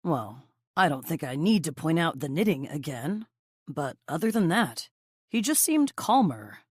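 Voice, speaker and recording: A woman's voice: low-pitched, very animated, unhurried, slightly rough, no echo, studio quality, heavily acted. A woman voicing a gossipy, teasing young male best friend in a romance audiobook, effusive and blunt. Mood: confiding.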